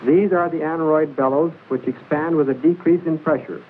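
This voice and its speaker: Guy doing 40s army instructional film voiceover, measured and exact, slightly high voice, faint hiss on old recording. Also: fairly even intonation, phone-quality sound. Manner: measured, precise, formal explaining. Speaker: training film narrator. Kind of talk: 1940s military training film narration, scripted and instructional. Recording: hissy band-limited 1940s film soundtrack.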